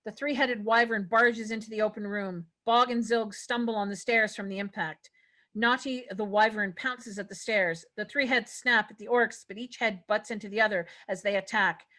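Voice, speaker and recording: A woman's voice: medium pitch, fairly even intonation, moderate pace, sharp tone. A woman reading stage directions as narrator in a scripted fantasy table read.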